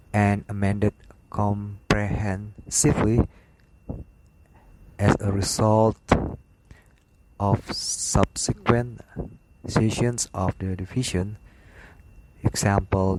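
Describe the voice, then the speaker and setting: A man reading a slide lecture aloud as an instructor, in a halting, word-by-word manner with stumbles. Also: on the low side, darkish tone. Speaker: aviation lecturer. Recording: clearly noisy background, clicks.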